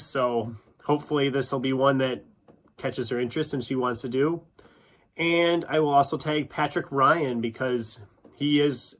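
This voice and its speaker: A man's voice: mid-range voice, a bit bright. Casual man chatting. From United States, Iowa. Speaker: YouTube book channel host. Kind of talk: casual chatty vlog, answering questions.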